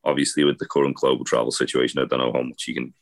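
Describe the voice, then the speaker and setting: A man hosting a wrestling news podcast, losing his voice to a cold, talking in a halting way with many fillers. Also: very deep voice, flat delivery. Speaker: podcast host. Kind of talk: casual chat, reading news.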